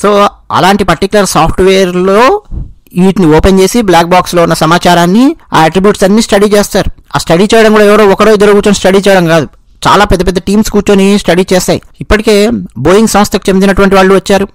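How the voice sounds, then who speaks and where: A man's voice: sits high, fairly even intonation, fast talker, no echo, low-quality recording. A man with a Telugu accent giving explanatory news commentary, restating and rephrasing his points as he goes.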